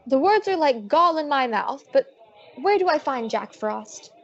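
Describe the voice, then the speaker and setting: A woman's voice: sits high, lively intonation, unhurried, muffled recording. A woman playing a wisecracking female private detective who narrates her case in slangy old-time radio style. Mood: indignant. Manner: wry, slangy hard-boiled storytelling.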